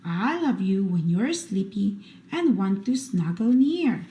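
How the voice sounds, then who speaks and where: A woman hosting a read-aloud for children, reading picture books in an expressive, deliberate bedtime storytelling style. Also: medium pitch. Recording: roomy echo, faint background noise.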